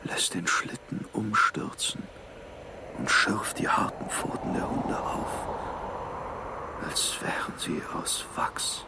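A weary, driven polar explorer in a radio play. His low voice is gravelly and half whispered, like private thoughts spoken as he struggles onward. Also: animated, takes it slowly, heavily acted. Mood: strained. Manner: hushed inner monologue.

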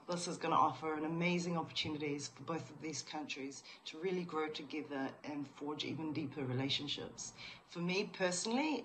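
Woman, 30s, slightly low voice, trade commissioner, prepared video message, webcam, faint room noise. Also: low-quality recording. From New Zealand. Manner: clear, prepared, earnest. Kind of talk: pre-recorded video message. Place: small indoor office room.

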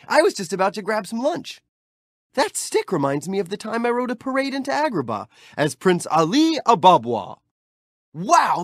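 An actor voicing a cheerful, street-smart young hero in video game clips, with light, boyish, friendly and teasing delivery. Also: male voice, high voice, no room sound, clean studio sound, heavily acted. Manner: friendly, teasing, easygoing chatter.

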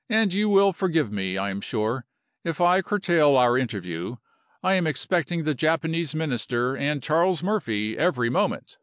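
A man voicing a smooth, self-important government official with formal, courteous speech. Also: on the high side, no room sound, clean studio sound.

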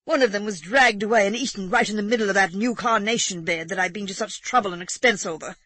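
A woman reading aloud, voicing an indignant country gentleman in agitated, huffy outbursts. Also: mid-range voice, fast talker, no room sound, pitched above the performer's own voice.

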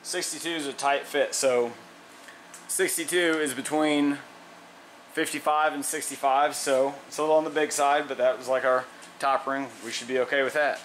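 A man's voice: medium pitch, fairly even intonation, normal speed, fairly bright tone, a little hiss or hum, poor audio. Plainspoken man explaining engine work. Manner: plainspoken, thinks aloud with fillers and restarts. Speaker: mechanic demonstrating an engine rebuild. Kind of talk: casual how-to narration while working. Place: workshop garage.

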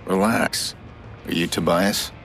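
Low-voiced, terse vigilante. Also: male voice, steady tone, slow pace, gravelly, heavily acted. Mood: cool, firm. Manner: terse, controlled, dry. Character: terse, steely male vigilante hacker. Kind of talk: video game cutscene acting. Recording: loud film-score music behind.

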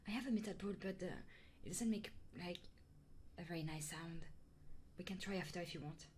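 A woman streaming ASMR, chatting casually and playfully with her viewers in a soft, intimate style. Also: lowish voice, brisk, slight background noise.

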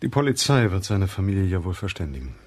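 Wanted: radio-play lighthouse man, low husky register, blunt, hard-nosed and dismissive. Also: sing-song, quick pace, darkish tone, full character voice. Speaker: actor in an audio drama. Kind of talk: German crime radio play acting.

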